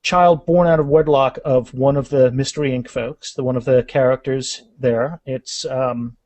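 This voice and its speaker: A man's voice: medium pitch, steady tone, normal speed, darkish tone, no echo. A man talking casually as an author in a podcast interview, often restarting and repeating words. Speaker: author being interviewed about their fantasy series.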